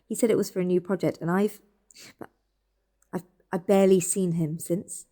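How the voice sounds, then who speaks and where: British woman, anxious and insistent, warm dark-edged voice, naturalistic radio play female actor. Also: lowish voice, fairly even intonation, normal speed, natural voice. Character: anxious, insistent woman questioning her relatives in hospital. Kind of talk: naturalistic audio drama acting.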